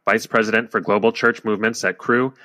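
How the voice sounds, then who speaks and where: A man's voice: fairly low pitch, fairly even intonation, a little fast, pushed and bright. A young man hosting a podcast, reading the show intro in an upbeat, polished way. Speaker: podcast host.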